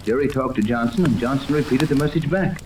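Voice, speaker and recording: A steady adventure-hero captain acted by a man talks firmly and plainly in 1930s radio style. The sound is echoey and clearly noisy, with a middle-pitched voice. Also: fast talker, close to an everyday voice. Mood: grave, steady. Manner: plain-spoken, firm, unruffled. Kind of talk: 1930s radio serial acting. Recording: roomy, distant, noisy 1930s radio recording.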